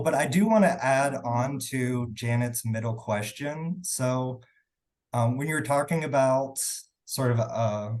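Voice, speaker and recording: A man with a slightly hoarse voice from a sore throat, casually hosting a webinar and taking audience questions. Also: medium pitch, a little mellow. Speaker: webinar host and moderator.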